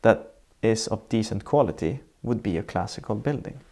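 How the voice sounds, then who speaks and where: Gravelly low-voiced Swedish man explaining. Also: animated, dark tone. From Sweden. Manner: measured, reflective, lays out definitions step by step. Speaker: architect guest. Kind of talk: explanatory interview answers. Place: sitting indoors in Norway.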